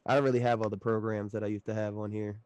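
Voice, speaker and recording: A man's voice: fairly low pitch, level tone, fast talker, a little mellow, slight background noise. A man in his late twenties, chatting loosely as a podcast guest, laughing often and swearing casually. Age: late 20s.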